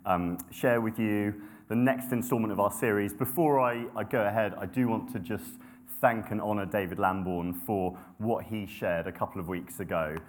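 Young male preacher, lowish voice; relaxed, humble sermon delivery with filler words and restarts. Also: moderate pace, slight background noise. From grew up in Nepal and the UK. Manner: conversational, self-deprecating, with frequent ums and restarts.

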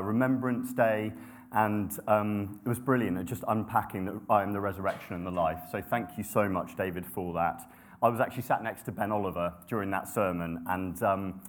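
Low-voiced man preaching conversationally. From grew up in Nepal and the UK. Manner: conversational, self-deprecating, with frequent ums and restarts. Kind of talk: sermon.

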